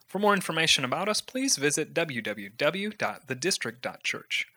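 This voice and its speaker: A man reads a short scripted announcement in a very bright, sharp voice. His delivery is even and steady, and his voice sits a little high. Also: moderate pace.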